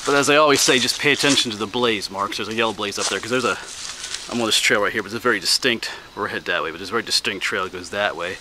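A man's voice: lowish voice, fast talker. An American man in his forties chatting casually and rambling folksily as he walks a wooded trail, speaking into a handheld camera. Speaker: hiking vlogger and teacher. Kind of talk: casual chat while walking a trail. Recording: outdoor camera audio with clear noise.

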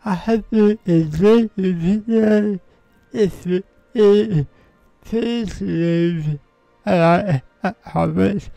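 Guy doing chill game commentary, mellow and really slow, halting, dry close sound. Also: high voice, vocal fry, dry recording. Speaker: host of a video game playthrough. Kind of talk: casual gameplay commentary.

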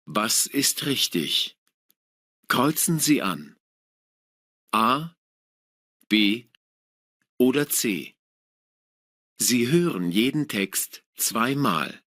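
A man's voice: mid-range voice, big pitch swings, extremely slow, pauses often, pushed and bright, no echo, clean studio sound. A man reading language-test instructions, carefully articulated. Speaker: exam announcer.

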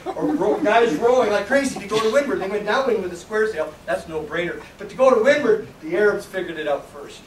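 An older man giving a casual slide lecture on sailing history, rambling and animated, with frequent restarts and asides. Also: sits high, a little hiss or hum. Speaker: sailing presenter. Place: darkened lecture room with projector. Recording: echoey room from a distance, faint ambience.

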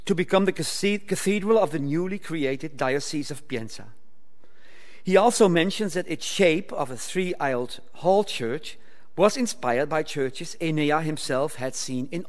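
An older man reading a formal academic paper aloud at a lectern, measured and occasionally stumbling over words. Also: fairly high pitch. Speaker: conference speaker. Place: lecture hall, speaking at a lectern. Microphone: lectern microphone. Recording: reverberant hall.

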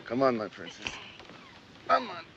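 A man's voice: medium pitch, huge pitch swings, a little slow, creaky, full character voice. A man playing a fond, hardworking pioneer father in a television period drama, tender and plainspoken. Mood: tender, weary. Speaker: actor in a television western. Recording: dull, very noisy outdoor film soundtrack.

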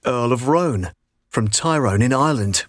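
A middle-aged British man reading scripted documentary narration in a measured, storytelling way. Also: medium pitch, expressive, dry recording, clean studio sound. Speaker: voice-over artist. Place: vocal booth. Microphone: studio condenser microphone.

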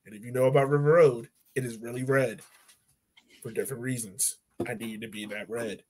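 A man's voice: mid-range voice, fairly even intonation, brisk, darkish tone. A man in his thirties with an American accent, hosting a casual sports chat in a jokey, opinionated way. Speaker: podcast host. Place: room at home. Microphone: video call.

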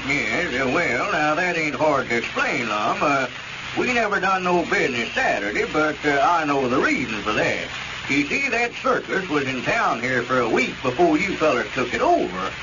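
An actor playing a glib, scheming country squire with a rural Southern accent in old radio comedy. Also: male voice, a little high, very animated, moderate pace. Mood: persuasive. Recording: muffled, noisy old radio recording.

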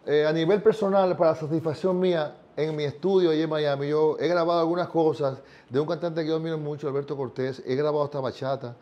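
A man's voice: a little high, steady tone, fast talker, few pauses, dark tone, muffled recording. A man in his sixties, a singer, talking earnestly in an interview and often repeating words as he searches for them. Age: 60s. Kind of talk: casual interview chat. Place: bright living room set, indoors.

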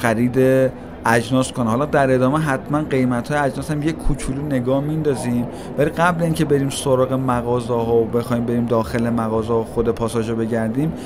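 A man's voice: mid-range voice, fairly even intonation. A man giving a casual, chatty walking-tour narration, talking straight to his viewers as he strolls through a large indoor shopping mall. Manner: chatty, addresses viewers directly, musing. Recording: noisy mall ambience. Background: mall crowd ambience.

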